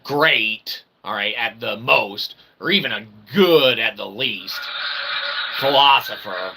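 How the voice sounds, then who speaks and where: Male vlog host, creaky voice, loose rambling delivery, mock-grand wording, noisy setup. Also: lowish voice, expressive, slow, bright, forceful tone. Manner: wordy mock-formal phrasing with constant "okay" tags; self-deprecating. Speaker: independent channel host and developer. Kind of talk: rambling casual monologue to viewers.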